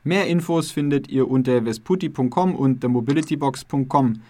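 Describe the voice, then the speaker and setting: A man's voice: mid-range voice, moderate pace, dark tone. A young man hosting a podcast and interviewing a guest. His questions are friendly but wander, with many fillers and restarts. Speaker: podcast host.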